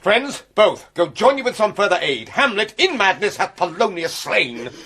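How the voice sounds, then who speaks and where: A man's voice: high-pitched, sing-song, normal speed, sharp tone, exaggerated voice. An actor playing a troubled, calculating king with a British accent, grave and urgent in classical radio drama style. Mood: urgent command.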